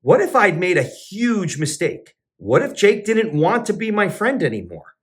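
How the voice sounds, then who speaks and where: A man, a teacher and children's entertainer, reading a picture book aloud with expressive storybook narration. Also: moderate pace. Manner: expressive storybook narration with sing-song pitch swings.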